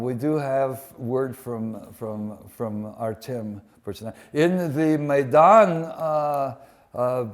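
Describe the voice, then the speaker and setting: A man with a mid-pitched voice speaks informally as a poet presenting work at a live event. His delivery is slow and halting, wandering into asides as he goes. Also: darkish tone. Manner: halting, digressive. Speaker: poet reading and introducing poems at an event. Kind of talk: live poetry reading introduction, informal stage talk. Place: museum event before an audience.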